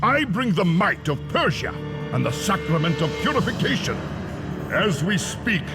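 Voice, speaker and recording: High, swooping, pompous Persian king. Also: sing-song, normal speed. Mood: haughty, mocking. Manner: theatrical, grandiose. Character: pompous, grandiose Persian king, haughty invading conqueror. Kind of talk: dramatic video game acting. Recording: loud orchestral music behind.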